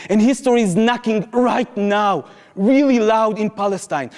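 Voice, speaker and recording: A young Israeli man with a fairly high voice addresses a crowd. He talks with earnest, urgent passion. A long reverb from the lecture hall trails his words. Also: darkish tone. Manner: earnest, impassioned, urgent appeals to the audience. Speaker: activist speaker. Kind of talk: public talk, personal storytelling.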